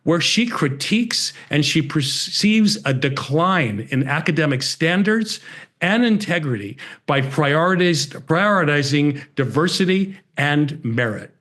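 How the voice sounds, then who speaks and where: A man's voice: on the high side, lively intonation, measured pace, a bit bright. A man hosting a program, reading a scripted introduction of a guest in a formal presentational style. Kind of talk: scripted introduction read aloud.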